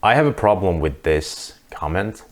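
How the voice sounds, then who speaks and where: A man with a very low voice and a Finnish accent, speaking English as his second language. He talks to camera in an earnest, reflective way, restarting sentences as he works through his thoughts. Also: big pitch swings, moderate pace. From Finland. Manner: earnest, thinking aloud with restarts. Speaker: vlogger. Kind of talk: casual monologue to camera.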